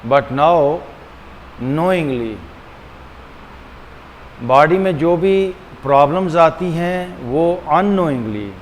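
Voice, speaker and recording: A man leading a guided meditation as a teacher, speaking deliberately and repetitively with frequent pauses, mixing two languages. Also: on the high side, expressive. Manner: switches between Hindi-Urdu and English. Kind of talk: guided meditation, calm instruction. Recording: long hall reverb, faint background hum.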